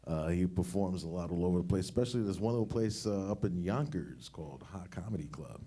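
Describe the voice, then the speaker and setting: A man's voice: low voice, fast, dark tone. A man hosting a comedy show, introducing the next comedian.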